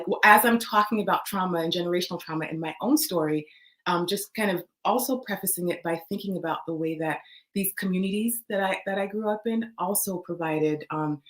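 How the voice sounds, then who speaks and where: A woman's voice: lowish voice, low-quality recording. Reflective Haitian-born woman guest. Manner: thoughtful, often tags "right?". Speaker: guest, trauma-informed coach. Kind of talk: reflective interview talk.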